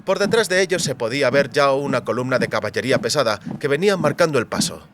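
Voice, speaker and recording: A man's voice: mid-range voice, lively intonation, quick pace, background noise. A man reading a scripted fantasy novel as an audiobook narrator.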